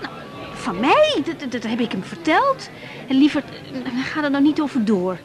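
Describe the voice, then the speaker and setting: An actress playing a teasing, affectionate young bride and doctor in a radio drama, with a Netherlands Dutch accent. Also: female voice, high voice, moderate pace, a crowd in the background. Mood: flustered. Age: young adult. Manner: teasing, animated, stammers when flustered.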